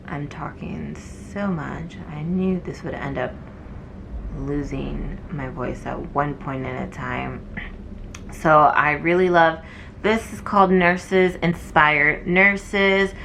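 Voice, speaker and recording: A woman's voice: low-pitched, fairly even intonation, slow pace, creaky, a bit bright, slight background noise, poor audio. A young woman, a nursing student, chatting casually to camera with lots of fillers, her voice wearing thin from talking. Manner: chatty and rambling, many fillers. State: losing her voice.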